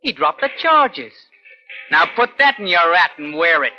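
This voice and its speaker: High-voiced smug young gang leader. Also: male voice, big pitch swings, measured pace. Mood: gloating. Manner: taunting, insolent. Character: brash, smug teenage leader of a knife gang. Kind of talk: old-time radio crime drama acting. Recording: muffled old radio recording, music behind.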